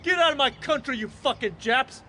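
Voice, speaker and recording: A man's voice: very high voice, moderate pace, pushed and bright, slight background noise, voice pushed up, full character voice. A man playing a hostile, menacing armed bigot who barks threats in an audio drama. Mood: enraged. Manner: barking, threatening.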